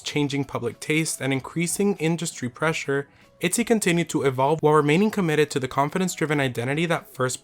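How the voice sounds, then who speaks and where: A man hosting a scripted video essay, his voice slightly raspy from losing it, reading with enthusiasm. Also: on the high side, fairly bright tone.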